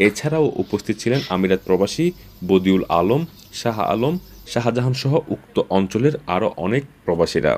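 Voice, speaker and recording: A man's voice: lowish voice, lively intonation, moderate pace, darkish tone. A man reading a scripted television news report as a reporter.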